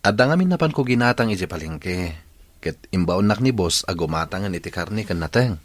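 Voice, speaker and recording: Radio drama countryman: humble, respectful, Ilocano accent, low voice with lively swings. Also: a little fast, dry recording. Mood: polite, flustered.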